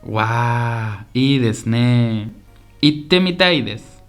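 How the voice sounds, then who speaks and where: A man's voice: mid-range voice, expressive, slow, fairly bright tone. Man playing polite, eager Spanish tourist, music behind. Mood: agreeable, curious. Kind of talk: scripted language-lesson radio drama.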